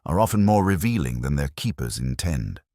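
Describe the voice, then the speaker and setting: A man reads as a commanding detective with a very deep voice. He speaks in measured, clipped deductions with lively swings of pitch, as in a mystery audiobook. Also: no echo, studio quality, exaggerated voice. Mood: musing. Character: incisive, commanding male English detective.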